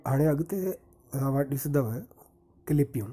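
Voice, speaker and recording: Narrator, male, very dark and mellow tone, steady formal read. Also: medium pitch, moderate pace, husky. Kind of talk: scripted narration.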